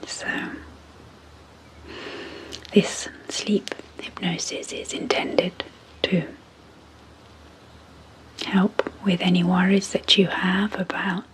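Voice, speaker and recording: A woman speaks in a soft, breathy near-whisper, on the low side. Her delivery is very slow and very even, with long pauses between short phrases, in a gentle ASMR hypnosis style. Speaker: psychologist hosting an ASMR channel. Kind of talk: soft-spoken ASMR sleep hypnosis introduction.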